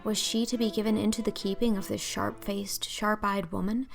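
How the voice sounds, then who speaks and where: A woman's voice: mid-range voice, level tone, normal speed, gravelly, music in the background. A woman narrator reading a scripted storybook audiobook.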